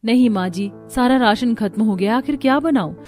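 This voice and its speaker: A woman playing a worried, patient young daughter-in-law and mother in a cartoon story, gentle and respectful. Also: a little high, moderate pace, faint music behind, lightly acted.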